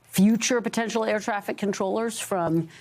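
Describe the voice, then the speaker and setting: An American woman anchoring a news interview, asking measured, formal questions. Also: medium pitch, a little mellow.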